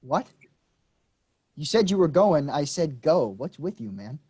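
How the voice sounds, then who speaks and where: A brash, restless young farm man, offhand and needling, as a man reads him in a staged reading. His voice sits fairly high and swings widely, with a husky edge. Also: very animated, a little slow, a little mellow, heavily acted. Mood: irritated.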